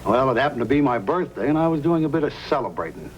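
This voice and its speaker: A man's voice: medium pitch, very animated, fast talker, highs cut off, natural voice. Man acting folksy, crafty frontier storekeeper, old radio. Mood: evasive. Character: folksy fatherly frontier storekeeper who is secretly a crafty outlaw. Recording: noisy old radio drama recording.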